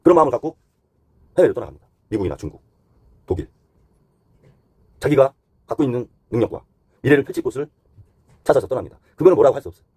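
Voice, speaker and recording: A man's voice: mid-range voice, very animated, quick pace, long pauses, a little mellow, husky, no room sound. A man hosting a current-affairs opinion monologue in an earnest, critical manner, posing rhetorical questions. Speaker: host and commentator.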